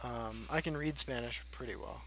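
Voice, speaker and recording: Guy rambling thru viewer questions, lots of ums, bright voice. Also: medium pitch, moderate pace, a little hiss or hum, rough recording. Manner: rambling, frank, many fillers. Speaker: channel host. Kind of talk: casual Q&A chat.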